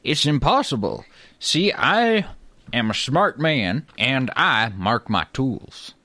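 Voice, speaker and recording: A man's voice: mid-range voice, sing-song, takes it slowly, pushed and bright, dry recording, full character voice. An actor playing a pompous, fussy Old West tool collector in a comedy radio play, boastful and over-precise in his wording. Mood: smug, self-important.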